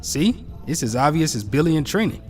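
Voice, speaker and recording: A man's voice: a little high, big pitch swings, a little fast, a little mellow, faint music behind. A man acting a brash, teasing teenage boy in an audio drama, slangy and needling, recorded at a distance from the mic.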